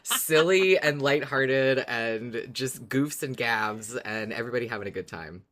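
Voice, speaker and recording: Very low-voiced woman, effusive podcast co-host. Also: a little slow, a bit bright. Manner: effusive, informal, sweary. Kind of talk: casual podcast chat.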